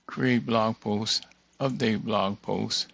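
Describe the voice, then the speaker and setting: A man's voice: lowish voice, steady tone, slow pace, gravelly, a bit bright, no room sound. A man hosting an unscripted tutorial, muttering to himself as he reads items off one by one and thinks aloud. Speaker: tutorial host. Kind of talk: unscripted screen-share walkthrough, thinking aloud.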